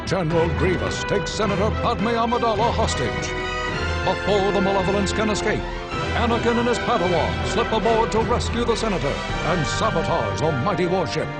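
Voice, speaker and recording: An actor playing an urgent, booming wartime newsreel announcer, narrating with dramatic emphasis for a game. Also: male voice. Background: loud music.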